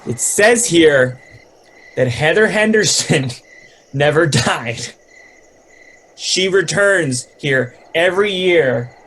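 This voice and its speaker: Young male stoner thrill-seeker played by a man, lightly high voice, loose slangy delivery, faint night noise. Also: animated, slow, pauses often, fairly bright tone. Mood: excited, amused. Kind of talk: dramatic reading, casual slangy dialogue.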